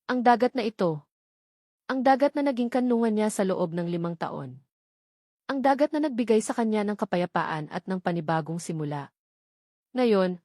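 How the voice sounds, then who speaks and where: A woman's voice: mid-range voice, a little mellow, dry recording, studio quality. A woman with a Filipino accent reading a scripted romance story as a dramatic audiobook narrator.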